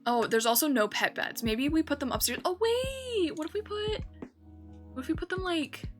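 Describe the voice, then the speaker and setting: A woman's voice: high-pitched, lively intonation, normal speed, faint music behind. A young woman streamer chatting casually while building in a game, rambling and correcting herself with lots of fillers.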